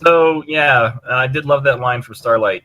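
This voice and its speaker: A man's voice: mid-range voice, normal speed, bright, forceful tone. A man co-hosting a casual fan discussion of a cartoon, acting out quotes as he retells scenes and swearing casually. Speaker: podcast co-host.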